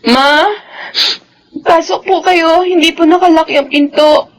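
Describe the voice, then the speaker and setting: A woman playing a distraught young pregnant daughter in a melodrama, speaking in a crying, pleading, halting way. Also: extremely high pitch, fairly even intonation. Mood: tearful, dejected. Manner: tearful, pleading, halting delivery. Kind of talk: melodramatic Filipino radio-style drama acting.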